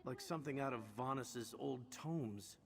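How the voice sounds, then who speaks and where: An actor playing a roguish, sincere adventurer in a video game, wry and a little haunted. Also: male voice, medium pitch, a little slow, creaky, music in the background. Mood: puzzled, earnest. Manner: wry banter turning earnest and reflective.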